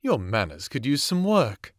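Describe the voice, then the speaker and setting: A man's voice: mid-range voice, huge pitch swings, unhurried, no room sound, studio quality. A man acting a sadistic, playful vampire villain who taunts theatrically in an audio drama. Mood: smug, toying. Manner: taunting, theatrical, toying with words.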